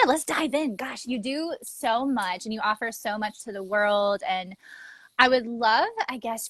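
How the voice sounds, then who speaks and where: A woman hosting an interview over a video call, affirming her guest in a soft-spoken way. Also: fairly high pitch, no echo. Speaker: host. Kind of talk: casual interview chat.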